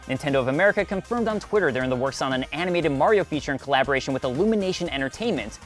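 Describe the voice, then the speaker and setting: A man hosting a video essay, reading scripted narration with wry, conversational asides. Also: a little high. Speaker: host of a video essay series.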